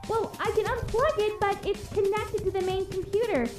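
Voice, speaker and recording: A woman plays a perky girl of a young woman in a game fan dub, unsure of herself and very high in pitch. The recording is roomy and echoing, with music clearly underneath. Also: fairly even intonation, normal speed. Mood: unsure, puzzled. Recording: roomy echo with music behind.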